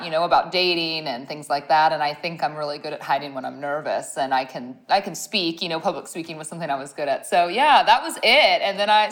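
A woman with a raspy, deeper voice and a Midwestern accent with a slight Southern touch, a former radio host telling stories in a casual interview, animated and laughing often. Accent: Midwestern American with a slight Southern touch. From Ohio, US Midwest. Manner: animated storyteller, laughs often, playful asides. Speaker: guest, brand owner and former radio host.

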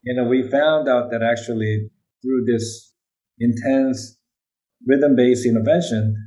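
A man's voice: mid-range voice, steady tone, a little slow, warm tone, poor audio. A man whose first language is Korean, speaking English with a Korean accent, answering interview questions about brain research and often checking in with "right?". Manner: explanatory, often adds "right?".

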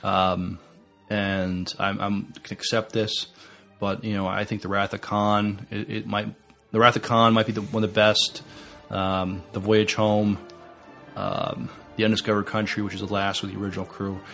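A man co-hosting a podcast, talking casually about films in a halting, stammering way. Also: fairly low pitch, flat delivery, fairly bright tone, faint music behind. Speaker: podcast co-host. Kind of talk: casual film review chat.

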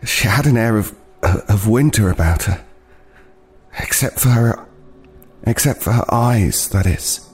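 Haunted, halting homeless man, low voice. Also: lively intonation, a little mellow, husky. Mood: haunted, reflective. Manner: hesitant, halting, haunted, with repeated words. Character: weary, wary homeless man recounting a frightening night. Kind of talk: dramatic first-person storytelling in an audio play.

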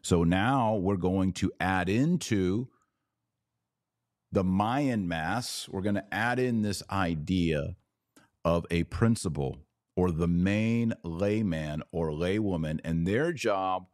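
Low-ish guy doing podcast commentary, emphatic and preachy, dry close sound. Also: slow, darkish tone, dry recording. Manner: emphatic, lecturing, repeats points for stress. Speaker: podcast host. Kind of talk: podcast commentary on church liturgy.

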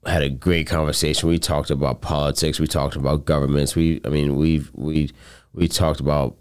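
A man's voice: rumbling low, little pitch movement, normal speed, darkish tone, smooth. A man telling a story in casual chat, earnest and loose, swearing now and then.

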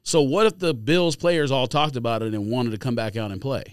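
A man's voice: mid-range voice, fairly quick, clean tone, no echo, studio quality. An American man co-hosting a podcast, arguing insistently in a casual sports debate. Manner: argumentative, insistent. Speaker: podcast co-host.

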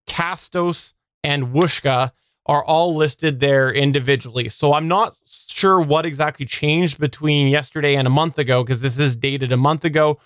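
A man's voice: on the high side, a bit bright, clean tone, dry recording, clean studio sound. A man co-hosting a podcast, talking through news in a conversational style. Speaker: podcast co-host.

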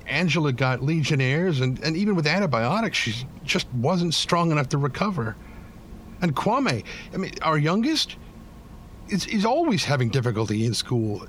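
A man playing a weary, bitter but devoted working-class widowed father in an audio drama, plain-spoken and halting. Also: fairly high pitch, sing-song, a bit bright, slight background noise. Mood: grieving, bitter. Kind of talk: naturalistic audio drama acting.

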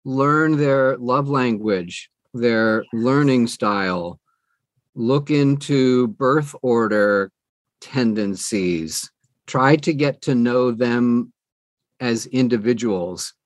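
A man's voice: mid-range voice, drawn-out words, no echo. A middle-aged or older man talking as an interview guest, giving earnest, conversational advice and repeating phrases for emphasis. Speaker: interview guest, audiobook producer.

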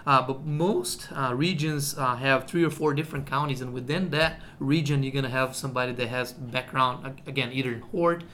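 A man's voice: mid-range voice, a little fast, few pauses, pushed and bright, slight background noise. A man from Brazil, a university agronomy researcher explaining his work in a radio interview, with many fillers and "okay?" checks.

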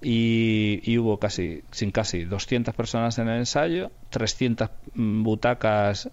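His voice sits low, with the accent of Spain. He talks like a man among colleagues, colloquial and doubling back on phrases for emphasis. The recording is slightly dull, as in an informal interview. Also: unhurried, darkish tone. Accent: Spanish (Spain). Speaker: radio drama producer and sound engineer. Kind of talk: casual interview conversation.

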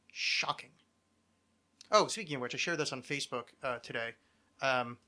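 Bright-voiced middle-aged man, rambling podcast host. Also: on the high side. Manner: rambling, self-deprecating, profane. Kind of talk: casual chat.

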